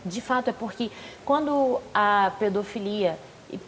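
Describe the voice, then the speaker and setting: A woman hosting a studio talk show, introducing guests with gushing, effusive praise and quick chatty asides. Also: mid-range voice, a little hiss or hum, low-quality recording.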